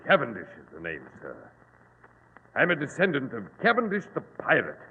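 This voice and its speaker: An actor playing a menacing, boastful pirate captain in formal, grandiloquent old-time radio drama style. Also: male voice, huge pitch swings, moderate pace, breathy edge, long reverb tail, full character voice. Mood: cold menace. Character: menacing, boastful modern pirate captain holding captives at gunpoint. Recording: muffled, noisy old radio recording, boomy.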